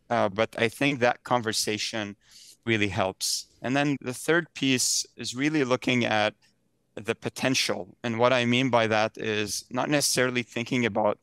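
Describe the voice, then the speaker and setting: A man's voice: mid-range voice, fairly bright tone, clean tone, no room sound. A man from the Middle East giving conversational, explanatory interview answers as a podcast guest. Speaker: podcast guest, software engineering director.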